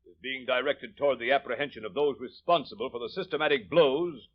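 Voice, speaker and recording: A man's voice: medium pitch, brisk, close to an everyday voice. An actor playing a formal middle-aged male navy admiral addressing the press in a 1930s radio drama. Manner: formal, official. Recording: dull old radio recording.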